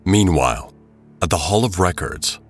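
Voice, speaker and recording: A man's voice: low-pitched, animated, normal speed. A man narrating an audio drama in a scripted, dramatic storytelling style. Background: music bed.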